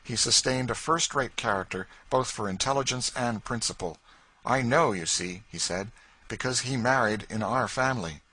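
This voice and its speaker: A man reading an audiobook, voicing a courteous, earnest young gentleman who gives long accounts. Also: medium pitch, moderate pace, husky, voice pushed up, low-quality recording. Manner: earnest, warm-hearted recounting. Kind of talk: audiobook character voice.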